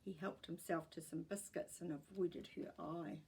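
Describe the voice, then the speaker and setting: A woman reading a story aloud as an expressive, scripted narrator. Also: fairly low pitch, measured pace, a little hiss or hum.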